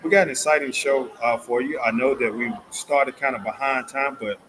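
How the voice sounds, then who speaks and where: A man hosting an interview, slightly hoarse, upbeat and stumbling over words. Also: mid-range voice, steady tone, moderate pace, noisy background. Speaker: host.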